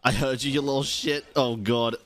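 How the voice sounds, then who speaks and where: A man's voice: mid-range voice, steady tone, moderate pace, fairly bright tone. A young man giving casual, slangy, sweary commentary as he streams and reacts to a game.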